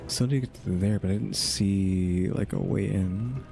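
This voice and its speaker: A man's voice: low-pitched, normal speed, creaky. A man giving casual, laid-back gameplay commentary, thinking aloud as he plays. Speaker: gaming video creator. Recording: loud game music behind voice.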